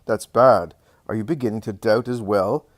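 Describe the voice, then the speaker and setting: A man's voice: lowish voice, moderate pace, dry recording, close to an everyday voice. A man playing a pompous, mocking male religious elder, insinuating and insistent in questioning, in a dramatic story reading. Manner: insistent, smooth-tongued questioning.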